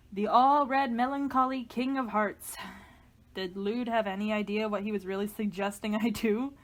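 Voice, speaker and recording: A woman's voice: fairly high pitch, natural voice. Haunted, brooding narrator voiced by a woman. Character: haunted, paranoid narrator unravelling over an obsessive manuscript. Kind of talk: dramatic monologue reading.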